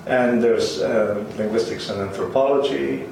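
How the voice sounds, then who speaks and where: An older man from Finland who grew up speaking Swedish, Finnish and German, recalling his life in an interview with hesitant, halting speech. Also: medium pitch, vocal fry, a little mellow. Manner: hesitant, many ums and restarts, chuckles. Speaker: interviewee, linguist. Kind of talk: oral history interview. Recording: roomy, distant, faint hiss.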